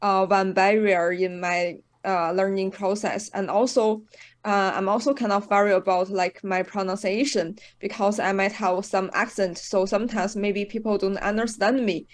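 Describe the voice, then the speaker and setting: A woman's voice: mid-range voice, steady tone, moderate pace. A woman learning English, speaking hesitantly and searching for words.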